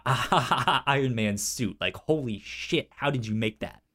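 A man's voice: medium pitch, slow, bright, forceful tone. A man hosting a casual pop-culture podcast in an informal, slangy way, often stuttering and repeating syllables. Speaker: podcast host.

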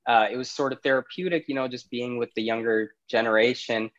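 A man's voice: mid-range voice, fairly even intonation, fairly quick, fairly bright tone, muffled recording. A man from Pakistan, chatting easily as a podcast guest, laughing often between thoughts. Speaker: podcast guest, startup CEO. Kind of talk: casual interview conversation.

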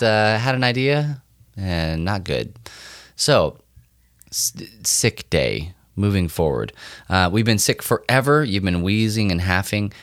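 A man's voice: low-pitched, a bit bright, no room sound. A man co-hosting a podcast, chatting casually in a dry, teasing way. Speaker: podcast co-host.

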